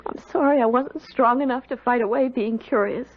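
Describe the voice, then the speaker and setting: Sensitive, hesitant young woman, played by an actress: fairly high voice, earnest radio-drama delivery, slightly muffled, music. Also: moderate pace, slight background noise, natural voice. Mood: earnest, uneasy. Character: young woman, curious, sensitive, easily frightened. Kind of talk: old-time radio drama acting. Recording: dull old radio recording.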